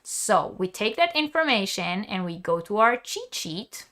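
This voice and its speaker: Woman, Hebrew speaker, slow steady delivery, teaching yarn basics, reads viewer chat. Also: mid-range voice. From Israel. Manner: explanatory, many ums and uhs. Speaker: crochet teacher hosting a live stream. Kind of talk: live-stream tutorial, reading chat.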